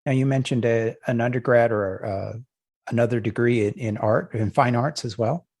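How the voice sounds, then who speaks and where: Host with a rough, fry-laden, husky voice, brief prompting questions. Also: male voice, mid-range voice, moderate pace, a little mellow. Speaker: podcast host. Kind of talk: interview questions.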